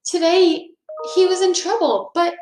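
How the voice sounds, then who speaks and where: A woman's voice: very high voice, a little slow, darkish tone, roomy sound, slight background noise, pitched above the performer's own voice, low-quality recording. A woman reading a storybook as an earnest young schoolgirl, hurt but brave, with a pleading, emphatic delivery.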